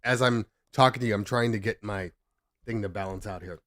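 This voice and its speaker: A man's voice: fairly low pitch, brisk, fairly bright tone, no echo, studio quality. A man hosting a casual DJ livestream, congested and just out of bed, rambling and joking as he sets up at his home desk. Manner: rambling, self-interrupting, joking. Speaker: DJ livestream host.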